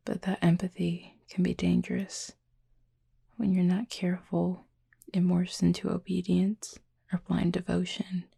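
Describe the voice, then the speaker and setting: Woman acting as gentle cupid roommate, low female voice, very gravelly creak, thoughtful, measured, intimate ASMR roleplay. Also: no echo, studio quality, heavily acted. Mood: guarded, reflective. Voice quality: soft, breathy, husky with creak. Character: soft-spoken, reflective cupid roommate, gentle and guarded.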